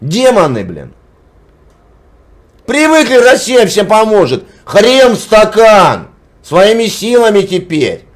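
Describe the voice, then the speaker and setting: A man's voice: sits high, huge pitch swings, slow. A man hosting, arguing hotly and scornfully with a stranger, swearing and repeating his demands. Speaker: channel host arguing with a stranger. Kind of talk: heated argument, swearing.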